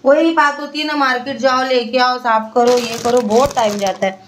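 Young woman, chatty home vlogger, slightly high voice, kitchen, faint background hiss. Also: steady tone, moderate pace, roomy sound, distant mic. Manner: chatty, talks to her baby in a sing-song coaxing way. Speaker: family vlogger. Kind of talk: casual home vlog chat.

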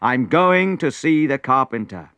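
A man voicing a determined, worried prince and father, forceful then pleading, in a storybook reading. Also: lowish voice, unhurried, dull audio, lightly acted. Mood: defiant.

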